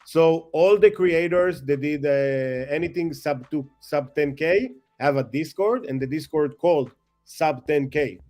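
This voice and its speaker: Excitable rambling man, slightly raised pitch. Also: a little slow, soft background music. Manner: excitable, rambling, self-mocking, non-native phrasing. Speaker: guest. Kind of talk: casual chat.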